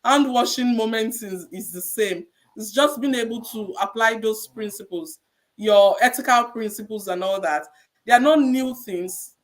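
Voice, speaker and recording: Encouraging Nigerian woman, measured advice. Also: a little high. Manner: measured, encouraging, repeats key points. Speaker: registered nurse guest. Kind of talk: podcast interview advice.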